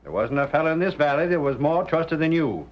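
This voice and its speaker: An actor playing a grave, stubborn sheriff in an old-time radio Western, earnest and folksy, with an American Western drawl. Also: male voice, a little high, big pitch swings, fairly quick. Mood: stern. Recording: dull, noisy vintage radio recording.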